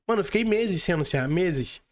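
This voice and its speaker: High-voiced Brazilian man hosting a podcast. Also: animated, a little fast, a little mellow. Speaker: podcast host. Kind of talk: casual podcast conversation.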